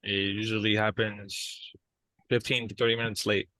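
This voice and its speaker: A man playing a restless young therapy client in a role-play, speaking in a halting, hesitant way. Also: lowish voice, little pitch movement, sharp tone, no room sound, low-quality recording. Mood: hesitant, earnest. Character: soft-spoken restless young man in couples-style therapy, aspiring drummer.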